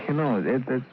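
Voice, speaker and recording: An actor playing a boastful small-time young punk with an American accent in a 1950s radio drama, eager and hesitant, tacking nervous questions onto his talk. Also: mid-range voice. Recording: dull, faintly noisy 1950s radio recording.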